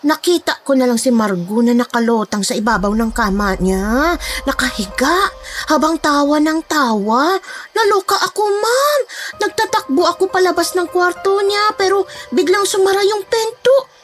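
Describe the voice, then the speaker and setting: A woman's voice: high-pitched, lively intonation, moderate pace, soft background music. A woman playing a talkative, frightened nanny in a horror drama, speaking in a gossipy, respectful, dramatic way. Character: talkative, frightened Filipina nanny sharing a scary secret. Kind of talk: dramatized horror story dialogue.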